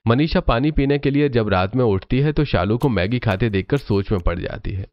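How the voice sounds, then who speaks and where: A man's voice: medium pitch, expressive, normal speed, no echo, muffled recording. A man reading scripted storybook narration for a cartoon.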